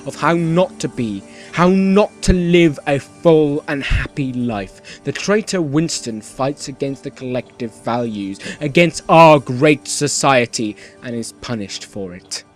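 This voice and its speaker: A man's voice: medium pitch, close to an everyday voice. Radio play: male dystopian station host, played by a man, mid-range voice, dramatic and falsely cheerful with creeping menace, music bed underneath. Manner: theatrical, dramatic, swinging from grand proclamation to hushed menace. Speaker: radio announcer. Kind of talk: scripted Night Vale-style radio play narration.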